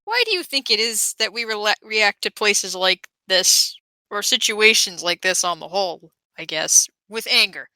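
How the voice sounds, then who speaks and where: A woman's voice: mid-range voice, lively intonation, normal speed, bright, forceful tone, dry recording. A woman chatting casually and playfully as a player in a tabletop role-playing game.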